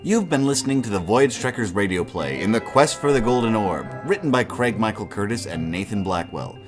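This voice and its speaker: A man's voice: lowish voice, expressive, fairly quick, loud music behind the voice. A man narrating a radio play and reading its credits as a scripted announcer.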